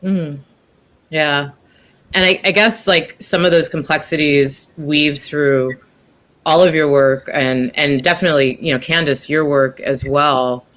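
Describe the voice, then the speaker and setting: Bright-voiced woman moderating a video panel. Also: deep voice. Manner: encouraging, asks long winding questions. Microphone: video call.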